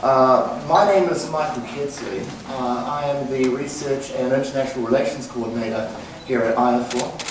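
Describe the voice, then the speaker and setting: A man's voice: mid-range voice, moderate pace, noisy background. A middle-aged man with a New Zealand accent introduces a speaker at a conference podium, talking earnestly with many "uh" fillers. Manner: frequent "uh" fillers, earnest and plain. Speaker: conference session chair. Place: conference room, indoors. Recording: very echoey room, picked up from a distance.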